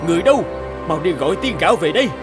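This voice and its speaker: A man voicing a stern, imperious old heavenly emperor in an animated fairy tale, speaking formally and with command. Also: high-pitched, rapid-fire. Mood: angry. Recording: music under the voice.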